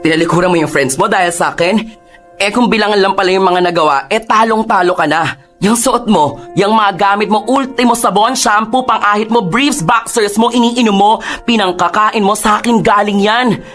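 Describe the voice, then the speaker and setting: A bitter, guilt-ridden Filipino lover who drinks, in a radio drama. His voice is fairly high for a man and emotionally heavy, with music behind it. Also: moderate pace, a bit bright. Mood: bitter, scornful. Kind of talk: Tagalog radio drama acting.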